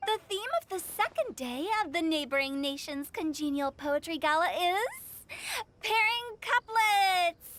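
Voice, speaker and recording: A woman's voice: extremely high pitch, animated, measured pace, fairly bright tone, full character voice. A woman voicing a mischievous young director girl in an anime video game, announcing with theatrical, formal phrasing. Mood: showy announcing. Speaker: event announcer.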